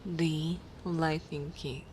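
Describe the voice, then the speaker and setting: A woman hosting an ASMR channel, speaking softly and deliberately in a breathy half-whisper. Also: sits low, little pitch movement. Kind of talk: soft ASMR channel outro. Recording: faint background noise.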